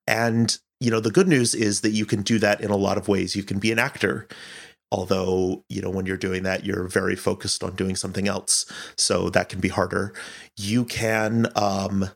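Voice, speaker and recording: A man's voice: low voice, flat delivery, moderate pace, vocal fry. A man answering interview questions as an audio director, speaking earnestly and thoughtfully, often searching for his words.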